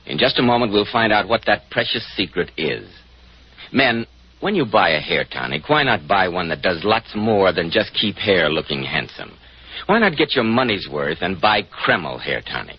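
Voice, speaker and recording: A man's voice: low-pitched, big pitch swings, moderate pace, husky. A man with an American accent announcing and advertising in polished, emphatic, salesmanlike 1940s radio style. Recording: muffled 1940s radio broadcast.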